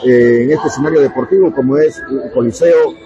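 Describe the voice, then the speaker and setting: A man's voice: mid-range voice, fairly quick, muffled recording. A man with an eastern Bolivian Spanish accent giving live radio commentary on a futsal match, talking conversationally to listeners. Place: indoor coliseum sports court, from the stands. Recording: very noisy live sports hall. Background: loud ambient noise of a futsal match.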